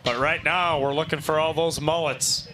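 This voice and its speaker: Male race announcer, bright tone, slightly high, jokey banter, faint noise behind. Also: fairly even intonation, normal speed. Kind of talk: live event commentary.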